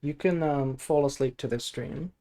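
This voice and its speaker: Sleepy guy streaming a card game, mellow relaxed voice, just thinking out loud casually. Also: mid-range voice, moderate pace. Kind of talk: casual gameplay stream chat and deck analysis.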